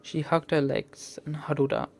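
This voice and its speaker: A man's voice: fairly high pitch, level tone, moderate pace, vocal fry, a little mellow, a little hiss or hum. A man reading visual novel text aloud in an earnest, theatrical way as a game playthrough host. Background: game music.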